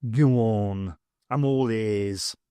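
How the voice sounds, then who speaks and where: A man voicing a brisk, determined adventurous woman, wry and commanding, in an audiobook reading. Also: rumbling low, mellow, clean tone, dry recording, clean studio sound, heavily acted.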